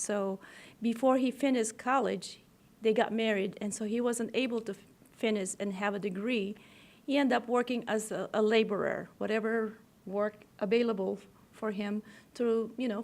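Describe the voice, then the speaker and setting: A woman from the Philippines with a Filipino accent, telling her story as an interview guest in halting phrases. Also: medium pitch, vocal fry.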